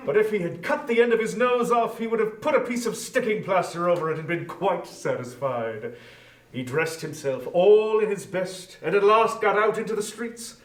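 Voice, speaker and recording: A man narrating a storybook in an expressive, measured dramatic reading style. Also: sits high. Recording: echoey room, distant from microphone.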